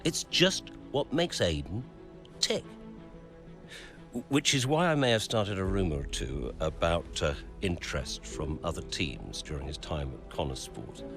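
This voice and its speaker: A low male voice with plenty of melodic movement, playing a calculating racing team executive in a game. He speaks smugly and deliberately in a documentary-style interview, pausing to think, over faint music. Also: big pitch swings, full character voice. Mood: smugly analytical. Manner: deliberate and smug, with thoughtful pauses.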